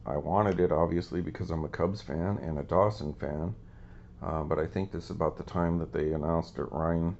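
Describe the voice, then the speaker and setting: A man's voice: rumbling low, darkish tone, lo-fi sound. A man hosting a casual show-and-tell of his cards, easygoing and rambling with lots of ums, his voice a little scratchy today. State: slightly scratchy voice. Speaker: card collecting channel host.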